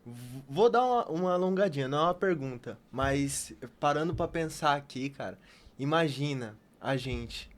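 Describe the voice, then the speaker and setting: A man co-hosting a podcast in a casual, enthusiastic way, halting and repeating himself as he goes. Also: fairly high pitch, animated. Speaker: podcast co-host. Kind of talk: casual chat.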